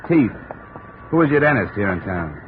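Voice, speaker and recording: Low, terse radio detective, noisy recording. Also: male voice, big pitch swings, moderate pace, narrow, tinny audio. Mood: brisk, commanding. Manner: clipped, matter-of-fact. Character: tough, businesslike, terse male insurance investigator. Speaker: lead character and narrator. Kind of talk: 1950s radio detective acting.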